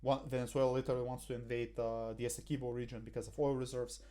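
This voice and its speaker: A man's voice: mid-range voice, flat delivery, quick pace, mellow. A young man, talking through a debate motion in a hesitant way full of fillers and restarts, on a headset in a small room. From Bulgaria. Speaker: debate coach. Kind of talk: explaining a debate motion over slides.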